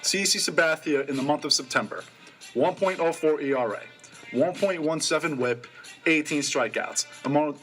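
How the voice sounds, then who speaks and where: A young man co-hosting a college sports radio show, reading off stats in casual, excitable talk. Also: a little high. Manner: rattles off stats quickly, excitable.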